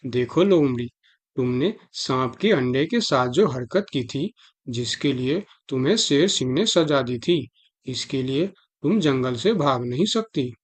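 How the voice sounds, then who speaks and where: A man's voice: medium pitch, animated, a little slow. A man voicing a dutiful, suspicious elephant army commander in a cartoon fable, officious in manner. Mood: stern, suspicious. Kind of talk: Hindi animal fable cartoon dialogue.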